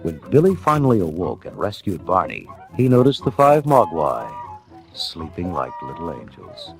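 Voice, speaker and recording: Male storybook narrator: low voice, hugely expressive dramatic reading, music bed underneath. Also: moderate pace.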